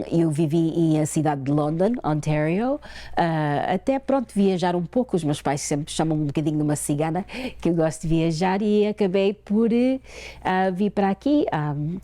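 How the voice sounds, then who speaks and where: A middle-aged woman from Portugal with a European Portuguese accent tinged by Canada, telling a personal story as a guest, unhurried and full of fillers. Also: low-pitched, mellow, dry recording. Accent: European Portuguese with a Canadian touch. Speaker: guest author. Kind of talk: personal storytelling in a talk show interview.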